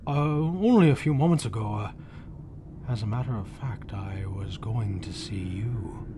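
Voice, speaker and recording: An actor playing a panicked, guilty husband in 1940s radio horror style, melodramatic, stammering and dropping into breathless whispers. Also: male voice, on the low side, a little slow, noisy background, lightly acted. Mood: nervous, evasive. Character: panicked guilty husband confessing his crime. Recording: old 1940s radio broadcast, loud music behind.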